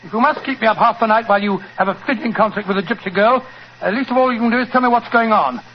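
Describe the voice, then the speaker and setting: An actor playing a loyal, easily baffled British gentleman in a blustering 1940s radio drama style. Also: high voice, very fast, close to an everyday voice. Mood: indignant. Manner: blustering, indignant. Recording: muffled, noisy 1940s radio recording.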